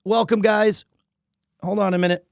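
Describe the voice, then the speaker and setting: A man live streaming a game, chatting casually and excitably with viewers, often repeating his phrases. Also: sits high, normal speed, dry recording, clean studio sound. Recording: music faintly behind the voice. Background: faint music.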